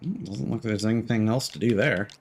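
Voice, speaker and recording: A man's voice: fairly low pitch, fast talker, a little hiss or hum. A man streaming a video game with casual, spoken-as-he-plays commentary. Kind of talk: casual live gameplay commentary. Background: game music.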